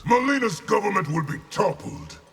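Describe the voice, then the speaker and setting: An actor voicing a brutal, contemptuous warlord general in a fighting video game, making menacing, scornful proclamations in a very raspy voice. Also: male voice, high-pitched, very animated, normal speed, a bit bright, heavily acted. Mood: grim, imperious. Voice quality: very raspy, gravelly.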